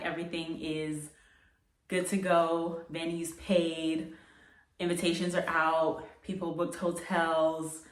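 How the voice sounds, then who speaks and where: A woman's voice: on the low side, fairly bright tone. A woman in her late twenties with an American accent, telling a personal story casually and reflectively, as a vlogger does, with emphatic repeated phrases. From United States. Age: late 20s. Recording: echoey room, distant microphone.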